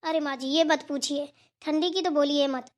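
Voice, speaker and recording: A woman's voice: high voice, steady tone, normal speed, natural voice. A woman voicing an obliging elder daughter-in-law in a family cartoon, speaking deferentially and agreeably. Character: dutiful, obliging elder daughter-in-law serving her mother-in-law. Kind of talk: amateur Hindi family cartoon dialogue.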